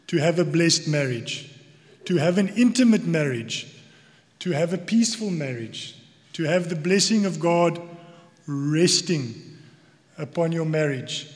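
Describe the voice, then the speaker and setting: A man with a South African accent giving a sermon as a church elder, speaking earnestly in deliberate phrases with repetition for emphasis. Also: a little high, lively intonation. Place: church hall. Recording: long reverberant hall echo.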